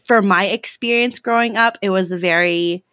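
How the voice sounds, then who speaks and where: A woman's voice: medium pitch, normal speed, a bit bright, dry recording. Young American woman, dry close sound. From California, United States. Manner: giggly, informal, peppered with "like". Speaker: podcast guest. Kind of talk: casual chat.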